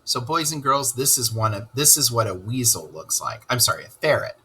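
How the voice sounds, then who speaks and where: A man narrating a children's story aloud in an expressive storytelling style. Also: medium pitch, fairly quick, slight background noise.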